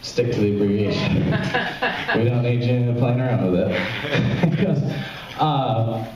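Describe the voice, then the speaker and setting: A man's voice: medium pitch, sing-song, slow pace, darkish tone, highs cut off, a little hiss or hum. A young man from Memphis doing rambling open mic stand-up, breaking off mid-joke and laughing at his own lines. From Memphis, Tennessee, US. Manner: rambling, self-interrupting, laughs at own jokes. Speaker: stand-up comedian. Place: small club, live audience. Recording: very echoey room, from far off.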